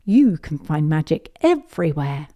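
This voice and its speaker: A gentle, encouraging lady gazelle who teaches young children in a storybook, voiced by a woman. The tone is soft-edged and mellow, with expressive rises and falls. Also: low-pitched, unhurried, full character voice. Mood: kindly. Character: kindly grown-up female gazelle schoolteacher, encouraging. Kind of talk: children's picture book read-aloud.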